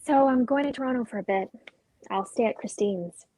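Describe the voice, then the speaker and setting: A woman's voice: fairly high pitch, brisk, dark tone, natural voice. A woman acting a restless, searching female character who longs for change, in a dramatic script reading. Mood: reflective.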